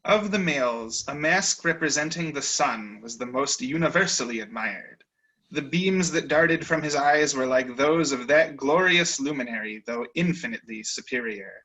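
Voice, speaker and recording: A man reading literary narration aloud over a video call, measured and mock-grand. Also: medium pitch, lively intonation, bright, forceful tone, low-quality recording. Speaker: reader of the story's narration. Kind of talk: dramatic reading.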